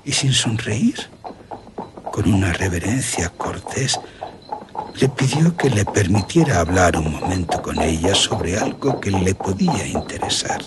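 Grave, low-voiced Spanish general, dramatic. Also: male voice, lively intonation, very noisy. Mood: earnest recollection. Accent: Spanish from Spain. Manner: dramatic, deliberate, courtly. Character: grave, remorseful gentleman general recounting a horror. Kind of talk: radio drama acting, storytelling.

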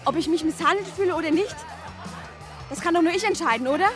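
A woman's voice: very high-pitched, quick pace. An actress playing a naive, stubborn teenage girl with a questioning manner in a German radio play. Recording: music behind the voice.

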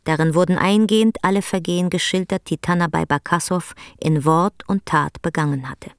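A woman's voice: on the low side, fairly even intonation, normal speed, clean tone, no echo, studio quality. A woman narrating a radio drama in a literary storytelling style. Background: prominent music.

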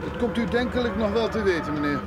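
A formal, tight-lipped corporal in a radio drama. His voice is high and lilts widely as he gives polite, evasive replies. Clear noise runs behind him. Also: expressive, fast. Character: obedient, tight-lipped young-sounding military police corporal. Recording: noisy radio drama recording, vehicle behind.

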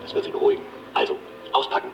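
An actor playing a cynical, domineering pharmaceutical boss in a radio drama, brusque and patronising. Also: male voice, very fast, close to an everyday voice. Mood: breezy, commanding. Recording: muffled sound with music underneath.

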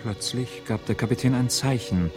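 An actor playing a sober seafarer who gives a formal, matter-of-fact account of shipwreck hardships in a radio play. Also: male voice, medium pitch, moderate pace, lightly acted. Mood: grave recounting. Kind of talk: scripted narration in radio play. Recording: studio radio drama with music behind.

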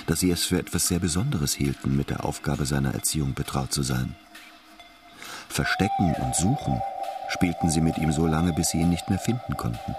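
An actor playing a reflective narrator of a philosophical radio drama, thoughtful and explanatory. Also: male voice, rumbling low. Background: music.

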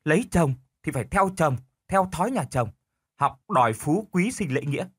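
A gruff older husband from an audio storybook, acted by a male narrator, stubborn and domineering. He grumbles and scolds with lively swings in pitch, recorded dry and close. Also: brisk, no room sound.

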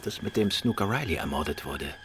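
An actor playing a courteous, composed gentleman detective and affectionate husband in a German radio play, with a polite, reassuring manner. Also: low-pitched, expressive, brisk, background noise.

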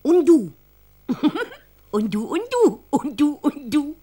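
An actress voicing a newly hatched tiny granny, childlike and curious, parroting words in a naive way for a children's radio drama. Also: female voice, sits high, lively intonation, slow, dark tone. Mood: playful parroting.

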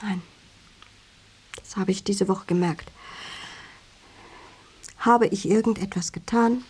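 An actress playing a lonely, needling, attentive wife in a radio drama, half-whispering in a soft, coaxing, wounded way. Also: female voice, mid-range voice, fairly even intonation, slow, breathy edge. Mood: needling, hurt.

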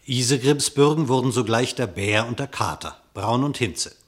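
A man narrating a verse fable in a radio play, in a measured storytelling manner. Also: mid-range voice.

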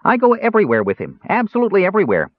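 Brief: a chirpy, impulsive little kender, high and animated, on a tinny dry line. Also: male voice, no room sound, full character voice. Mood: cheerful. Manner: high, bubbly, rapid and eager. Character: cheerful chatty kender, small, impulsive. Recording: dry tinny phone-like sound.

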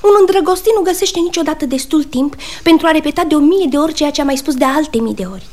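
A very high, girlish voice from an actress plays a young sweetheart in a comic radio play. She is coquettish and crafty, arch and expressive, putting on a prim air as she teases. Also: natural voice. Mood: teasing, lively. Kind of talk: comic radio theatre acting.

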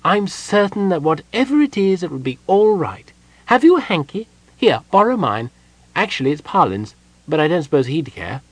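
A man's voice: a little high, big pitch swings, normal speed, slightly rough, dry recording, pitched above the performer's own voice, full character voice. A man voicing a cheerful, polite, chatty small male kender wanderer in a fantasy audiobook. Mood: polite, kindly. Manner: polite, eager, apologetic.

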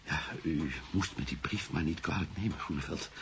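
A man's voice: a bass voice, a little fast, background noise. An actor playing a small, nervous, secretive alderman in a radio play, polite and fearful, speaking in hesitant, agitated phrases.

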